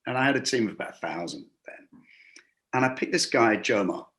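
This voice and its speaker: A man's voice: mid-range voice, lively intonation, brisk, fairly bright tone. Man telling work stories with restarts. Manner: rambling, many restarts, acts out quoted dialogue. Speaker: guest, hospitality business coach. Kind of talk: conversational interview storytelling. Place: lives and works in a Gulf city.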